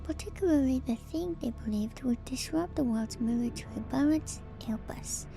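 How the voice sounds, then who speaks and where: A woman streamer doing a whispered ASMR reading with casual commentary, musing in a hushed, drawn-out way. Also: high voice, creaky. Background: background music.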